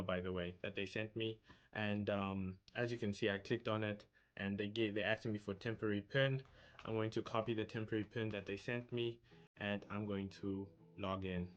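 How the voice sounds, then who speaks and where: Low-voiced man chatting through a screen walkthrough. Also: muffled recording. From South Africa. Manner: chatty, thinks aloud with frequent ums. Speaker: YouTuber walking through an application. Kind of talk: casual screen-share walkthrough.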